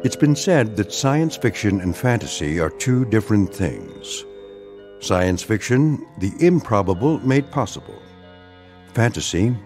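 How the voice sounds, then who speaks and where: A man narrating a radio drama in a measured, teasing storytelling manner. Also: lowish voice, darkish tone. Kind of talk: scripted narration.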